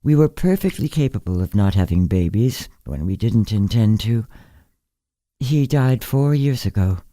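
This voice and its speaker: A man voicing a very old, bedridden woman in a literary story, frank and musing, with gentle wryness. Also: on the low side, lively intonation, moderate pace, dry recording, lightly acted. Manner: musing, candid, gently superior. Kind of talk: literary story character reading.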